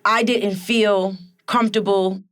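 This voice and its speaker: A young woman with a raspy voice, a musician talking candidly in a casual, slangy interview. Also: mid-range voice, fairly even intonation, slow pace, fairly bright tone. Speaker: guest musician and rapper.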